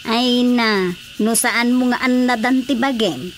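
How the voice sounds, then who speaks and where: Actress as high-voiced, scolding village woman. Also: takes it slowly. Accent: northern Philippine. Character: outspoken village woman, nagging and concerned. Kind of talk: radio drama comedy acting. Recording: faint cricket-like background noise.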